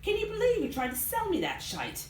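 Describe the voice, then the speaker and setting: A woman's voice: extremely high pitch, huge pitch swings, a little fast, voice pushed up, exaggerated voice. A woman reading the part of a jilted young bride in a novel, sarcastic and emphatic. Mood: mocking, outraged. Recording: roomy live reading, background noise.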